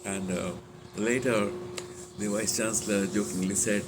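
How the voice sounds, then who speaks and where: An older man with an Indian accent speaks in a lowish voice, sharing memories off the cuff. His storytelling wanders and halts, with frequent hesitant pauses. A loud, steady hum like air conditioning sits behind him throughout. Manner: halting, meandering storytelling with many hesitant pauses. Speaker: speaker sharing personal recollections. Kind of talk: unscripted reminiscing monologue.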